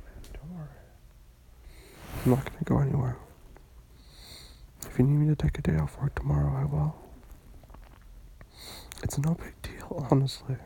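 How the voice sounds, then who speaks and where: A person playing a tender, protective romantic partner in an intimate comfort roleplay, speaking in hushed, soothing, breathy murmurs. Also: mid-range voice, a little hiss or hum, low-quality recording. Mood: soft, loving.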